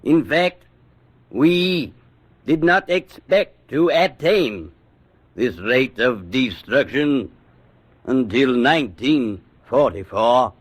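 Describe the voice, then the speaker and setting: An actor playing a weighty wartime prime minister, giving a halting, oratorical public address in a radio drama. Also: male voice, mid-range voice, animated. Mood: proud, deliberate.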